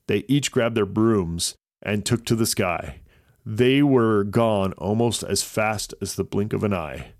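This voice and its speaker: A man's voice: on the low side, slow, dry recording, studio quality. A man narrating a scripted children's story as a podcast host.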